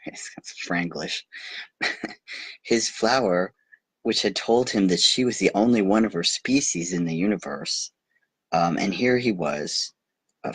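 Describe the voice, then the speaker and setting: A man with a very low, husky voice reads a bedtime story aloud. He goes slowly and haltingly, translating loosely and slipping into amused asides. The recording is close and dry. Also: no echo, low-quality recording. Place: recording himself on video.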